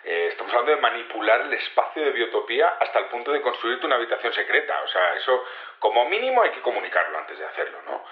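A man with an accent from Spain playing an earnest, chatty security chief, explaining haltingly over a telephone in an audio drama. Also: mid-range voice, big pitch swings. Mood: earnest, explanatory. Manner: hesitant, halting, colloquial, explanatory. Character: earnest, chatty male head of community security. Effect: telephone filter. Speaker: security chief interviewed by phone. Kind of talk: naturalistic audio drama interview. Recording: dull, echoey phone line.

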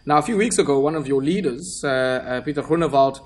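A man hosting a radio talk show and pressing a guest with questions, steady with frequent fillers. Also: on the high side, a little fast. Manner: probing, steady interviewer with fillers.

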